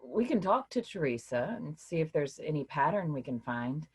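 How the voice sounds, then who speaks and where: A woman playing a weary but caring literature professor in a staged reading, speaking in a measured, hesitant, reassuring way with a husky voice. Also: sits low, sing-song, darkish tone, heavily acted. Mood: cautious, measured.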